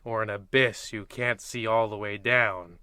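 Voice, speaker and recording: Man playing a bright-voiced, ominous radio horror host. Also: fairly low pitch, steady tone, a little slow, clean tone, no echo, studio quality. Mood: mock ominous. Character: hammy, eerie male horror anthology narrator, faintly dim. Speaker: narrator and host. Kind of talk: parody of old-time radio horror hosting.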